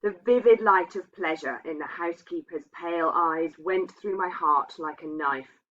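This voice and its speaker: A woman's voice: fairly low pitch, normal speed, a bit bright. A woman reading a literary ghost story aloud as a narrator. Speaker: reader. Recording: dull, muffled lo-fi sound.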